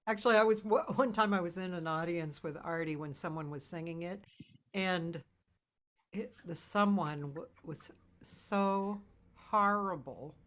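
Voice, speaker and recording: A woman's voice: fairly low pitch, a little slow, a bit bright. A woman chatting casually and easily as the host of a live interview show.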